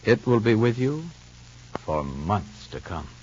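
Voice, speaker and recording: A man's voice: fairly low pitch, sing-song, slow, a little mellow, a little hiss or hum. A man announcing a 1950s radio serial with emphatic, rhetorical dramatic narration and sales delivery. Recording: dull vintage radio broadcast.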